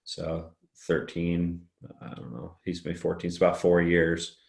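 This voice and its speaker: A middle-aged man with a deep baritone voice, chatting in a relaxed, rambling way as a podcast guest and laughing often. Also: unhurried, gravelly, roomy sound. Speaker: guest singer-songwriter. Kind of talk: casual interview chat.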